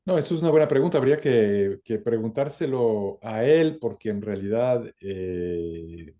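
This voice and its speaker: Male interview guest, middle-aged, low and very dark voice, halting, reflective delivery. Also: expressive. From born in France, lived some twenty years in Ecuador. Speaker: interview guest, academic and former government minister.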